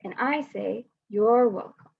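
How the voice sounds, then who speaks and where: A knowing older brother explains things to his little sibling in a storybook, voiced by a young woman reading. The tone is light and a bit breathy, heard through dull, lo-fi video call audio. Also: on the high side, slow, full character voice. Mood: matter-of-fact.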